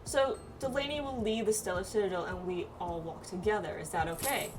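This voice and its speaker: A woman playing a game with friends, reading its dialogue aloud casually and haltingly, with reactions of her own. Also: mid-range voice, poor audio. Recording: game music behind the voice. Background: video game music.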